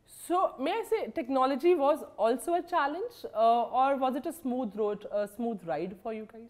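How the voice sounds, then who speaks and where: A woman's voice: high-pitched, lively intonation, moderate pace, a little mellow. A woman in her thirties with an Indian accent, asking questions in a conversational interview. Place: indoor office lounge set.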